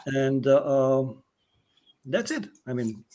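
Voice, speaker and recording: A man, a trainer, introducing himself on a video call in a relaxed, slightly hesitant way. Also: mid-range voice, slow pace. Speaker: trainer, panel guest.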